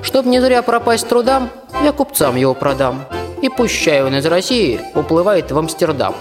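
An actor playing a plain-spoken, cheeky young peasant archer, talking in folksy rhyme in a fairy-tale radio play. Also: male voice, fairly high pitch, big pitch swings, moderate pace. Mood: cheeky, defiant. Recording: music behind the voice.

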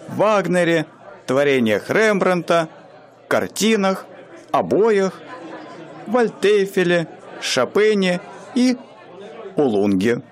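A man narrating a radio play in a slow, wry storytelling manner. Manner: slow, wry storyteller with wide swings in pitch.

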